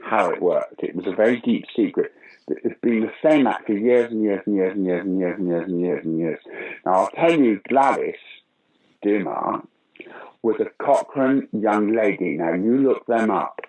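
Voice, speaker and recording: An older man, a guest actor and comedian, telling stories in an interview with sudden loud bursts of enthusiasm. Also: on the low side, normal speed, rough recording. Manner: animated anecdotal storyteller with sudden loud bursts. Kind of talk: conversational interview storytelling.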